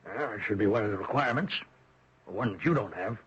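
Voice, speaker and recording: A husky, gravel-edged middle-aged American patriarch in a vintage radio drama. He is moneyed and controlling, with smooth condescension over open menace, on a dull old broadcast recording. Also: lowish voice, lively intonation, fast talker. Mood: cold, dismissive. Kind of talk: 1970s radio drama acting.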